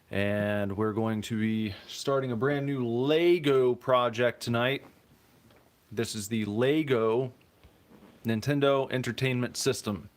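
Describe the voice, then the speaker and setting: Relaxed man streaming through a phone mic. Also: medium pitch. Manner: relaxed, rambling, reads chat aloud. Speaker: streamer host. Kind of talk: casual live-stream chat while building. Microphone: phone mic on a tripod.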